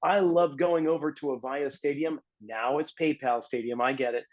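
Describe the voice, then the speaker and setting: A man's voice: medium pitch, a little mellow, dull audio. Male podcast host from New England, eager fan, conversational interviewer. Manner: enthusiastic, chatty.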